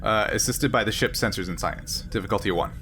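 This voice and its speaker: A man's voice: fairly low pitch, a little fast, bright, forceful tone. A man narrating an improvised tabletop game as game master, talking the players through the scene. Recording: music playing behind the voice.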